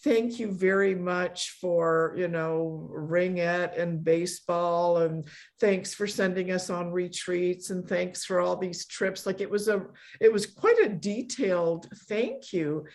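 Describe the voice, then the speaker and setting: A woman's voice: lowish voice, a little mellow. A middle-aged woman from a Polish immigrant family, hosting an online meetup in a casual, effusive, emphatic way. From born in Poland, grew up in a Polish immigrant family. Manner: effusive, emphatic repetition, quick to laugh. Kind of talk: casual chat, thanking a guest.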